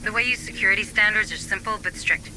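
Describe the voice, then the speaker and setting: Serious woman official over rumbling ambience. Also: brisk, pushed and bright, slight background noise, low-quality recording. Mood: serious, explaining. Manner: articulate, serious, insistent. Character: earnest, principled female colonial deputy administrator. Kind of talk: naturalistic video game acting.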